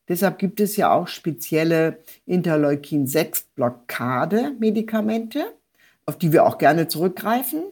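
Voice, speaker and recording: A woman giving an expert medical explanation in a measured, structured way with technical terms. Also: on the low side, dark tone. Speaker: rheumatologist, professor, expert speaker.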